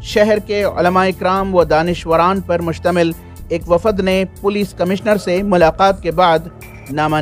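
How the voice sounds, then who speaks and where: A man reading a formal, measured news voice-over as a reporter. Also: high voice.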